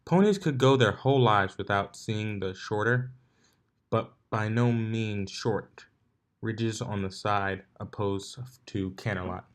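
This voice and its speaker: A man's voice: lowish voice, slow pace. A man reading a story aloud as narrator, sometimes stumbling and rereading his words.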